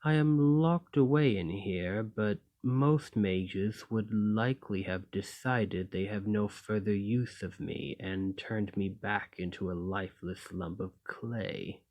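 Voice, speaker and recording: A man playing an imprisoned clay golem in an ASMR roleplay, polite and learned, speaking in formal, deliberate phrases. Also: fairly low pitch, darkish tone, full character voice. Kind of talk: scripted ASMR roleplay acting.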